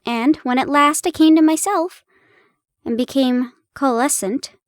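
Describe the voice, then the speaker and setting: A young woman in a playful roleplay, chatting warmly to the listener and reading an old story aloud, giggling and stumbling over old place names. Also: sits high, no room sound, clean studio sound. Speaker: audio roleplay performer.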